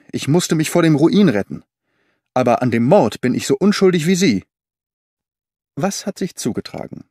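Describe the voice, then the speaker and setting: Man, audiobook narration, measured and clear, voicing dialogue, dry close mic. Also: medium pitch, darkish tone, no echo. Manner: measured storytelling reading, shifting tone for quoted characters.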